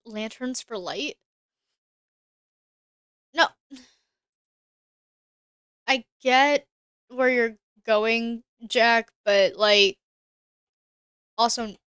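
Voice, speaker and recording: A woman's voice: on the high side, fairly bright tone, smooth, no echo, studio quality. A woman streamer chatting casually while she plays a game, thinking aloud and answering her chat. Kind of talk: casual live-stream gameplay commentary.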